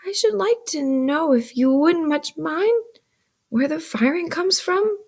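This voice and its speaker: A small, curious storybook boy, acted out by a female reader. The voice sits high, questioning and a little timid, polite but persistent. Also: lively intonation, a little slow, heavily acted. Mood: timid, insistent.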